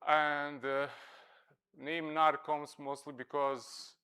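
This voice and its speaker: Serbo-croatian guy presenting at a conference, smooth clear voice, lots of uh and restarts. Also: a little high, little pitch movement, bright, forceful tone. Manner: halting, many fillers and self-corrections. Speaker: conference speaker. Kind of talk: scientific conference talk. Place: lecture hall at a conference.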